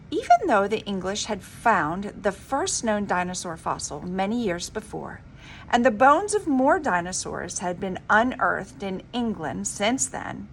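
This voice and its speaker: A woman reading a children's story aloud in an expressive, dramatic, emphatic storybook manner. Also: medium pitch, a little hiss or hum. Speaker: reader of a children's book.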